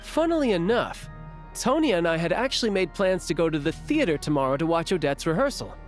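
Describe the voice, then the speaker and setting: A man's voice: high-pitched, big pitch swings, fairly quick. A man playing an easygoing young soldier in a video game, conversational and a little wry. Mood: wry, thoughtful. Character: easygoing young male soldier, dutiful older brother. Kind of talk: video game dialogue acting. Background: game music.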